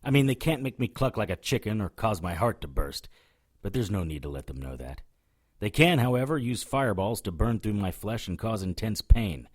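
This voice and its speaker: A man playing a hard-boiled occult detective in an audio drama, narrating and talking in a dry, sardonic way with a husky voice. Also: lowish voice, lively intonation, moderate pace. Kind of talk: first-person noir narration and dialogue in audio drama.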